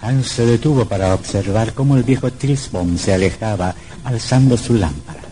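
A man's voice: on the low side, moderate pace, warm tone. A man narrating a scripted old radio horror drama in a dramatic, suspenseful manner.

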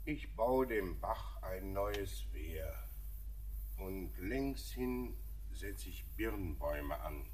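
An actor playing a thoughtful, middle-aged father and mine master, measured and reflective in a poetic radio drama. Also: sits low, animated, a little mellow, a little hiss or hum, exaggerated voice, rough recording. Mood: wistful. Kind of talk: poetic German radio play acting.

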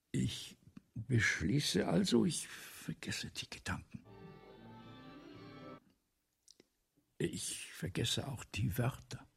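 An actor playing a vain, ailing, forgetful old writer in a radio play, with a theatrical, pompous, halting manner and a breathy voice that often drops toward a whisper. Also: male voice, medium pitch, a bit bright, exaggerated voice. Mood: confused, faltering. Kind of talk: 1970s German radio play acting.

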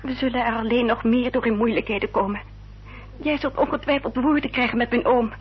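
An actress playing a sorrowful, resigned young gentlewoman, speaking formally and earnestly in a radio play. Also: fairly high pitch, fairly even intonation, fairly quick, breathy edge. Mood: sad resignation. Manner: formal, earnest, self-sacrificing. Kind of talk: Dutch radio play acting. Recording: dull, noisy old radio recording.

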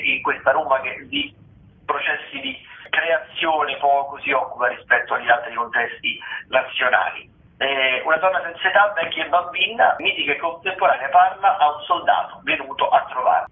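A man's voice: a little high, vocal fry. A man with a Roman accent hosting a radio theatre show, running through upcoming plays in a chatty way with many hesitations and restarts. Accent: Roman Italian. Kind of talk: reading theatre listings on radio. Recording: phone-like roomy radio sound.

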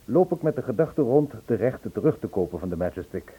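A self-absorbed, worldly composer in middle age, telling things earnestly in an old radio drama. His mid-pitched voice is husky, and the recording is tinny. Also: very animated, fast. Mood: earnest, indignant.

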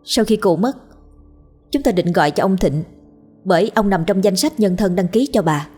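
A woman voicing a matter-of-fact female nurse who explains things in a measured way in a story. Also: medium pitch, animated, darkish tone, husky, faint music behind. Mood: earnest, explaining.

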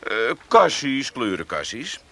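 An actor playing a brash, wheedling hustler with an Amsterdam accent and slangy, pushy delivery in a comic radio play. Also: male voice, mid-range voice, wildly swooping pitch, measured pace, gravelly, a bit bright, heavily acted. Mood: wheedling, sly. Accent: Amsterdam Dutch.

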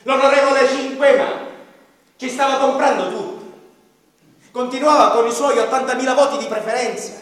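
A man's voice: very high-pitched, steady tone, moderate pace. Declaiming man on a far, echoing stage. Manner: declamatory, bitter and mournful, with sharp swings in loudness. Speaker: narrator of a radio play. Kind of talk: theatrical monologue narration. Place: theatre stage. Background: violin music.

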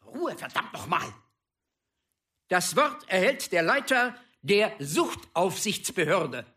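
A woman's voice: fairly low pitch, very animated, takes it slowly, sharp tone, full character voice. An actress playing a stern, exasperated chairwoman making official announcements to an unruly assembly in a radio play. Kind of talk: formal announcements in radio drama.

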